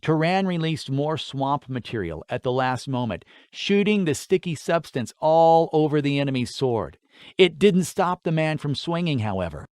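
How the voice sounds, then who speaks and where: Casting: male storyteller for fantasy audiobook narration, medium-pitched voice, very dry recording. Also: smooth, no echo.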